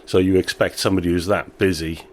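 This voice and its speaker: A man who owns a small brewery, chatting in an interview with a relaxed, self-deprecating manner and frequent laughter. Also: low-pitched, fast, a little hiss or hum. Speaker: brewery owner. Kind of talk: casual interview chat.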